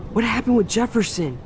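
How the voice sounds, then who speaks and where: Nerdy young guy, storm noise. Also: high-pitched. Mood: concerned. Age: young adult. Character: loyal, nerdy young male student, smitten friend. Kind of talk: dramatic video game acting.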